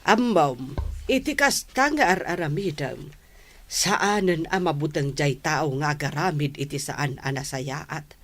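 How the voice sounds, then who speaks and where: A woman's voice: low-pitched, expressive, unhurried. An actress with an Ilocano Filipino accent playing a stern but caring mother admonishing her grown daughter in a radio drama. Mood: reproachful, concerned.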